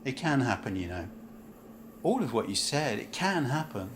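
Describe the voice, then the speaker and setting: A man's voice: mid-range voice, big pitch swings, moderate pace, lightly acted. A man acting a bitter, grief-worn former banker down on his luck in an amateur radio play, blunt, cynical and world-weary. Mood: resigned, reflective. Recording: home recording with faint background noise.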